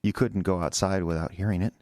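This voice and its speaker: A man's voice: sits low, fairly even intonation, fairly quick, a little mellow, no echo, close to an everyday voice. An actor playing an agitated, confused man making a confession in an audio horror drama, stammering and repeating himself. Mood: haunted, fixated. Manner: stammering, repetitive, pleading.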